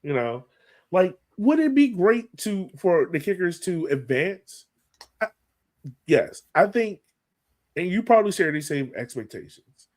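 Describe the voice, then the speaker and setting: A man's voice: sits high, sing-song, a little slow, long pauses, a little mellow. A man co-hosting a sports podcast, talking casually and thinking aloud as he gives his opinions on soccer. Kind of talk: casual soccer analysis chat.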